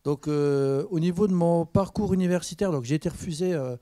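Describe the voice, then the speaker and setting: A man's voice: on the high side, fairly quick, no room sound, clean studio sound. Joking French man on a panel. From France. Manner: relaxed, joking, many fillers. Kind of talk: conference panel talk. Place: university conference room. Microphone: handheld mic.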